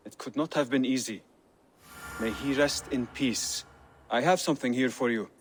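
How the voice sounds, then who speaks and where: A sombre Viking , acted by a man, offers formal sympathy in a middle-pitched voice. He sounds like a bearer of bad tidings in a video game drama. Faint noise sits behind him. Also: normal speed, close to an everyday voice. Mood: sombre, sympathetic.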